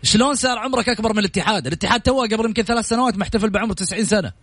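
A man's voice: sits high, rapid-fire, a bit bright, slightly rough, no room sound. A man with a Saudi accent hosting a live radio sports talk show, questioning callers in a teasing, probing way.

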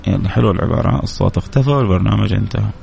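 A man's voice: lowish voice, very fast, gravelly, a little mellow. A man hosting a live radio program, reading out listeners' names and messages and answering each with a blessing.

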